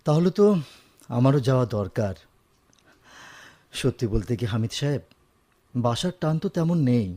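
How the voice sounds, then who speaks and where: An actor playing a weary, lonely office boss in a Bengali radio drama, speaking in a hesitant, reflective way. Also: male voice, medium pitch, expressive, moderate pace, warm tone, natural voice.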